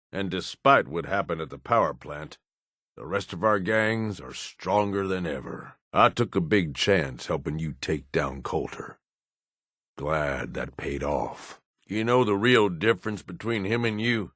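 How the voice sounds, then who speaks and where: A man's voice: low voice, a bit bright, dry recording, clean studio sound, full character voice. An actor playing a cunning, blunt raider right-hand man in a video game, with an American accent and a folksy tough-guy manner. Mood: sly, confident, then earnest. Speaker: companion character in a video game. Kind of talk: video game dialogue acting.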